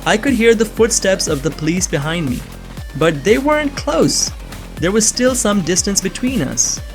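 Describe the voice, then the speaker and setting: A man's voice: high voice, very animated, moderate pace, faint music behind. A young man giving earnest, scripted first-person narration of a suspense story. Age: young adult.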